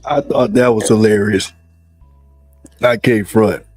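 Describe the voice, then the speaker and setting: A man around forty hosting a casual group chat in animated, slangy talk. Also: fairly low pitch, takes it slowly, soft background music. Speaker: show host.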